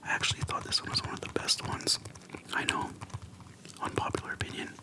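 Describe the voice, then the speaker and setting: A person whispering softly into a close microphone in a casual, slangy, unhurried ASMR chat, pausing often between phrases. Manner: soft whispering, unhurried with pauses; informal slangy speech. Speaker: ASMR video creator. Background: soft tapping and clicking.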